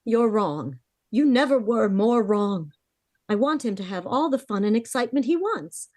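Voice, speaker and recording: A woman's voice: fairly high pitch, sing-song, moderate pace, a little mellow, no echo, clean studio sound. A woman playing a determined, earnest sister in a melodramatic 1940s-style radio drama. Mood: insistent. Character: desperate, determined young sister pleading for her brother.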